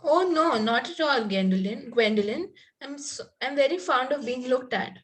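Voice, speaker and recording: Naive, romantic young ingenue, played by a woman, slightly high voice, prim and earnest, Victorian comedy table read. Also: lively intonation, moderate pace. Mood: eager, polite. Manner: earnest, politely formal. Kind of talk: amateur play table read.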